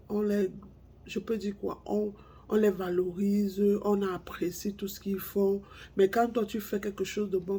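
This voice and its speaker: Woman with a head cold and a gravelly voice, talking slowly and haltingly to camera. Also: mid-range voice, mellow. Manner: slow, halting, repeats herself, drops to near-whispers. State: has a cold, congested. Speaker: spiritual speaker. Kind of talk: casual monologue to viewers.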